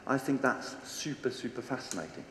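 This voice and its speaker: A man's voice: medium pitch, animated, a little fast, sharp tone, a little hiss or hum. A Danish-accented man in his forties talks to a big seated crowd, casual and blunt, swearing freely and throwing questions at the audience. His handheld mic picks up a roomy hall with a long reverb tail. A faint ambience runs underneath. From Denmark. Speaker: conference speaker. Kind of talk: energetic stage talk. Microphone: handheld microphone on stage.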